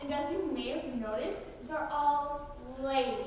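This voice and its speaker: Young woman as an earnest, high-voiced stage narrator, very echoey. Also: measured pace. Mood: eager, apologetic. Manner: presentational, projected, eager. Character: chatty, earnest female narrator of merry band. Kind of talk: high school stage comedy acting. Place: school auditorium stage. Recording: echoey hall, distant mic, noisy.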